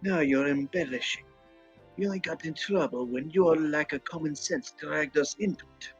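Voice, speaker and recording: A man voicing a dry, self-righteous, teasing alchemist in an amateur game fan dub, husky, on lo-fi audio. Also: a little high, normal speed, faint music behind, natural voice. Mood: dry, needling. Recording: dull, lo-fi voice audio.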